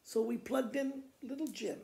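An older man with an American accent reading children's poems aloud in a deliberate, expressive storytelling manner. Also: extremely high pitch, creaky. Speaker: school principal reading to pupils. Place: living room by a fireplace, indoors. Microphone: camera a few feet away. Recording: echoey room, camera mic at a distance.

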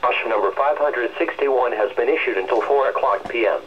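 A man's voice: mid-range voice, brisk, a little hiss or hum. An automated male announcer reading scripted weather alerts in a mechanical, impersonal way over a radio. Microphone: radio speaker re-recorded by a camera in a room. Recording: muffled weather radio broadcast played through speaker.

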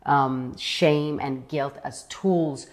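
Young woman interview host from Iran, low-pitched, animated and searching in her delivery, lapel mic in a living room. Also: very slow. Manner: animated, searching for words, restarts often. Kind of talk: conversational interview. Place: bright living room indoors.

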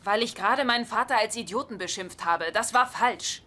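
A woman playing an exasperated, sharp-tongued wife in a German-dubbed sitcom, scolding and sardonic. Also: fairly high pitch, brisk, background noise, lightly acted. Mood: guilty, agitated.

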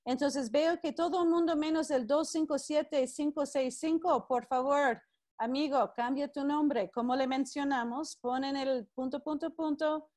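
A woman runs a live online class, her voice set high as she greets people by name and gives friendly, firm instructions. The sound comes through a video call. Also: steady tone, measured pace, a little mellow. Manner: friendly, directive, calls participants by name. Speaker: teacher leading an online class.

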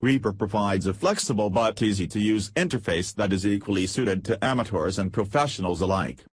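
A man narrates a scripted how-to in a fairly low, husky voice. He reads step by step in a measured way. The sound is dry and close, as in a voice-over. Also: no room sound. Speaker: software tutorial narrator.

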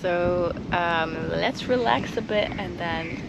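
A woman's voice: lowish voice, slow, vocal fry. Woman vlogger talking through heavy outdoor noise. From Uganda. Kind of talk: casual vlog recap to camera. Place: outdoors at a windy seaside. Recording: very noisy outdoor wind and water.

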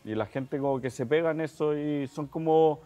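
A man's voice: on the high side, normal speed, over music. A man in his thirties from Chile with a Chilean accent, rambling relaxedly with many fillers as a guest on a studio talk show. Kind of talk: casual chat. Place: TV studio set.

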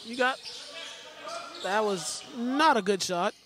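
A man's voice: high voice, slow, background noise. A man giving loose, chatty play-by-play commentary of a game, repeating names and restarting phrases. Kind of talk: casual sports commentary. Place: large indoor school gym. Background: faint gym ambience and ball bounces.

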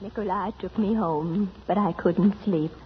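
A woman's voice: medium pitch, fairly even intonation, unhurried, slight background noise, natural voice. An actress playing a refined, romantic woman telling her memories in 1940s radio drama narration. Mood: wistful, lively. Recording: dull vintage radio recording with music.

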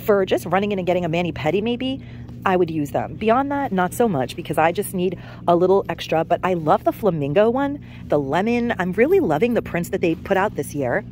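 A chatty woman with a fairly low voice narrates her walk through a discount store, gushing over products as she goes. She is recorded on a handheld phone, with faint store noise behind her. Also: lively intonation, fairly quick, a little mellow. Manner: chatty, enthusiastic product commentary. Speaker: shopping vlog host. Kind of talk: casual shop-with-me chat to camera. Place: discount store aisles, indoors.

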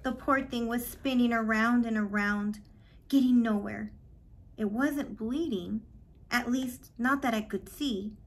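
A woman in her thirties reading a children's book aloud in a measured, expressive way, with pauses, in a small office. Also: a little high, poor audio. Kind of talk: read-aloud storytelling.

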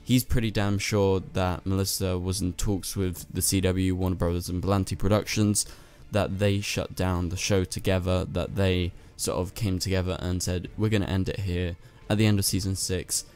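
Hoarse low-voiced man chatting. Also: little pitch movement, moderate pace. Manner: chatty, rambling, talks straight to viewers. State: lost his voice. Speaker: channel host. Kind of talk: casual commentary to camera. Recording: soft music underneath.

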